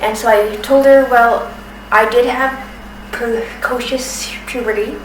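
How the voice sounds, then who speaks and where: Woman in her 30s with a stutter, slow careful talk, echoey room and noisy background. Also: fairly high pitch, steady tone. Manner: stutters, with repeated sounds and restarts. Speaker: documentary submitter. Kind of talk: personal testimony. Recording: roomy, distant from the mic, noisy background.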